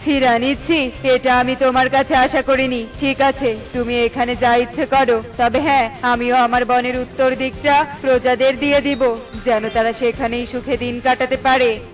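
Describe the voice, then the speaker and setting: A woman's voice: high voice, little pitch movement, moderate pace, faint music behind, pitched below the performer's own voice, natural voice. A woman voicing a kindly but easily indignant eagle king in a children's cartoon, commanding and exclamatory. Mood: reproachful.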